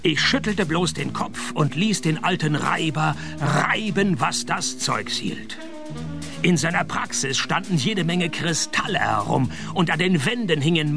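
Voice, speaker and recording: An actor playing a wry, laconic private detective who narrates his case deadpan in a radio crime comedy. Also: a little high, vocal fry.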